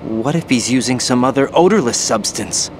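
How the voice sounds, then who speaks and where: A man's voice: mid-range voice, animated, moderate pace, noisy background, close to an everyday voice. An actor playing a young male soldier, an unsure rookie who keeps asking questions uneasily. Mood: uneasy. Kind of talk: video game cutscene dialogue.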